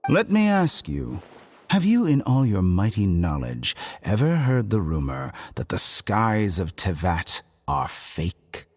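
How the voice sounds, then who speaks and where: An actor playing a smug, cold scholar villain in a video game, articulate and sardonic with a theatrical drawl. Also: male voice, on the low side, wildly swooping pitch, slow, heavily acted. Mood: smug, calculating.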